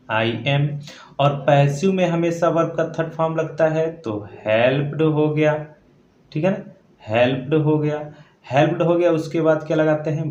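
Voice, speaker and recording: A man's voice: on the high side, darkish tone, dull audio. A man teaching step by step like a classroom grammar teacher, repeating points and often checking that listeners follow. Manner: repetitive, often checking understanding with tag questions. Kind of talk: classroom lesson explained step by step. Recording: echoey room with faint background noise.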